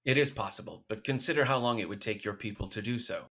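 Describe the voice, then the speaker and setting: A man playing a grave, loyal alien mystic with formal, measured phrasing in an audio drama. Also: fairly low pitch, expressive, a bit bright. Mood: solemn, explaining.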